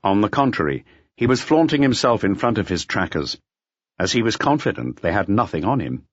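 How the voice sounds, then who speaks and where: A man with a lowish voice narrates a mystery audiobook expressively, with animated intonation. The sound is dry and close, on a slightly dull, lo-fi recording. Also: brisk, no room sound.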